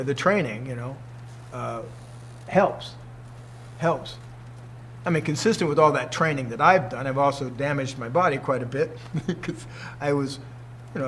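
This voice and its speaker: A man's voice: medium pitch, fairly bright tone, a little hiss or hum. A man in his sixties, a martial arts teacher, giving an informal talk to students, emphatic and fond of rhetorical questions. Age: 60s.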